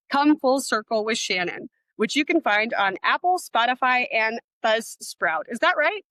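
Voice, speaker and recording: A woman's voice: on the high side, pushed and bright, no echo. A woman co-hosting a podcast, introducing a guest in an upbeat way. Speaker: podcast co-host.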